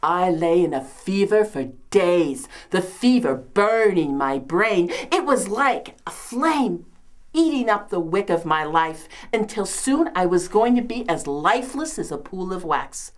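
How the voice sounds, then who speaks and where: A woman's voice: on the low side, lively intonation, unhurried, pitched below the performer's own voice. A woman playing a complaining, martyred old mother-in-law from biblical times in a comedic monologue, with a New York accent and theatrical delivery. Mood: dramatic recollection. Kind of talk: comedic dramatic monologue, performed.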